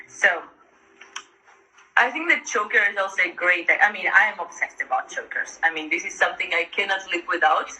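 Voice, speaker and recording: Very bright-voiced, enthusiastic woman. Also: lowish voice, brisk, rough recording. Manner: gushing, enthusiastic. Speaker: guest showing her fashion collection. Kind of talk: casual chat, show-and-tell. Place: online live video call.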